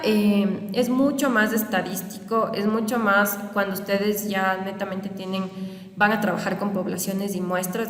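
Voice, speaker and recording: Young woman teaching a class, sounds far from the mic, roomy echo. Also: mid-range voice. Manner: explanatory, repetitive, checks understanding with rhetorical questions. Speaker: university lecturer. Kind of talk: recorded online lecture over slides. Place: small room indoors. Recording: roomy, reverberant, distant webcam audio.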